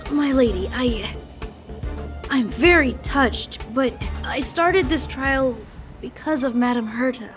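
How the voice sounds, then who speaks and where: Game casting: anxious, loyal boy guard, high-pitched, polite and hesitant, light music behind. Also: takes it slowly. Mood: earnest, burdened. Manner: hesitant, formal, deferential. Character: dutiful, polite young male guard, anxious and deferential. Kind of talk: anime-style video game voice acting.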